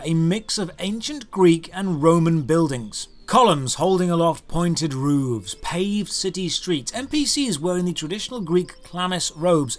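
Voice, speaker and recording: Guy with a high voice doing a snarky scripted game review, kinda exasperated, faint music behind him. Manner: sardonic, exasperated critique. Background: background music.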